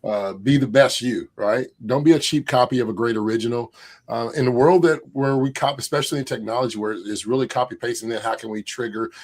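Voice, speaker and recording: A man co-hosting a live online summit, eager and halting, often restarting his sentences. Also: medium pitch. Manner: enthusiastic, halting, with many restarts. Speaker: event co-host.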